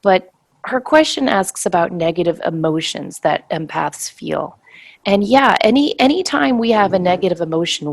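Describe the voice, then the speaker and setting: A woman hosts a relaxed spiritual talk show in a voice made hoarse and scratchy by a cold. She sits a little low in pitch and explains things patiently and reflectively. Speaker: podcast host.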